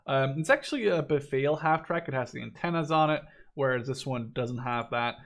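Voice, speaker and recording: A man's voice: mid-range voice, expressive, fairly quick. A man in his twenties giving casual game commentary in a rambling, conversational, opinionated way. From Netherlands. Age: 20s. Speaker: gaming video commentator.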